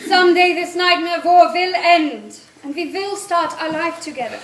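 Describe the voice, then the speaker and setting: A woman's voice: extremely high pitch, moderate pace. A woman playing a devoted, plucky young German fiancée in a theatrical, declamatory stage style. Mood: tender. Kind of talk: stage play acting. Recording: echoey stage recording, faint noise.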